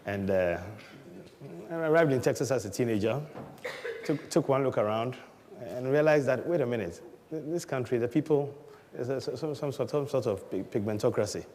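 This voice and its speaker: Man from Ghana, middle-aged, relaxed storyteller, chuckles a lot, mid-pitched voice, reverberant room. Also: normal speed. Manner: chuckles often, mimics voices in anecdotes. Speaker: entrepreneur telling his life story.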